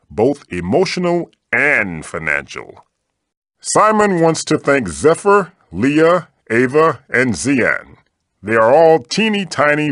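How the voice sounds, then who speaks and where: A man's voice: medium pitch, a bit bright, dry recording, studio quality. A man voicing a playful, theatrical magical wooden mask spirit for a comic video game, with a wry manner.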